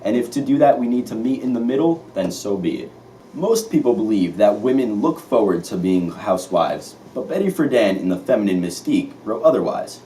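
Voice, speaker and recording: Deeper, swooping man playing 1950s news anchor. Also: animated, fairly quick, mellow, close to an everyday voice. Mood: earnest. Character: earnest male 1950s newscast anchor. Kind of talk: amateur scripted mock newscast. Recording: distant mic, faint noise.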